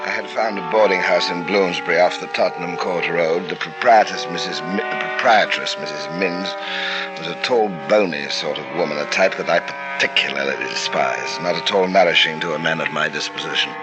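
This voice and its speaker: A man playing a smooth, murderous doctor who narrates his crimes coldly and charms others with false sorrow, in a vintage radio drama. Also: low-pitched, a little fast, close to an everyday voice. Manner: suave, falsely sorrowful, sly asides. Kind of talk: 1940s radio acting. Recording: old radio drama with music beneath.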